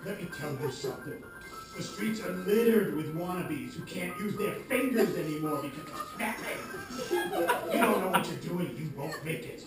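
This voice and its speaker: A man playing a washed-up snapping trainer, echoey, high-pitched. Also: expressive, moderate pace, lightly acted. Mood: grave warning. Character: washed-up older male former snapping trainer, gruff, reluctant mentor. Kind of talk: live comedic radio play acting. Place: live performance venue. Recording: echoey live stage, distant mic, music.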